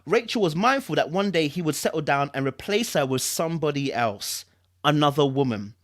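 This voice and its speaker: A man with a slightly high, very lively voice hosts a dramatic reading. He slips between narration and chatty reactions, repeating phrases for emphasis. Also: a little fast. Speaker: host reading a book aloud.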